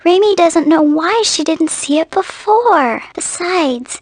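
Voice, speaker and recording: A tiny game fairy with a very high, girlish voice. She speaks slowly and with wonder, naive and devoted, in a dry close recording. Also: animated, no room sound, full character voice. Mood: musing, earnest. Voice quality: small, girlish voice. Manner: refers to self by name, slow and wondering. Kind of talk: video game voice acting.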